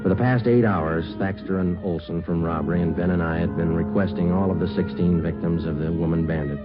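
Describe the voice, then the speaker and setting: Low, deadpan police detective played by a man. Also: fairly quick, over music, natural voice. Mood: dry, factual. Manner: deadpan, clipped, matter-of-fact. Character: stoic, businesslike male police sergeant detective. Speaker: narrator and lead actor. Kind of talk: 1950s radio crime drama acting and narration. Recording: muffled vintage radio broadcast.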